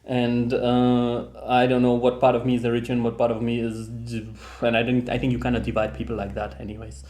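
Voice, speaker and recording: Young guy w german accent, chatty vlog, pauses a lot, restarts, um and uh everywhere, mid pitch. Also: completely flat delivery, a little mellow. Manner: thoughtful, hesitant, many fillers and restarts. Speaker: vlogger. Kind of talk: casual talk to camera.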